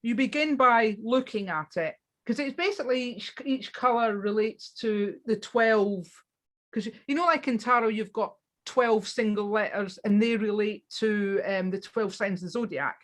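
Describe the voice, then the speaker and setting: A woman's voice: mid-range voice, normal speed. A woman guest in a casual interview, talking with enthusiasm and often restarting her sentences. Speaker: guest on spiritual practice.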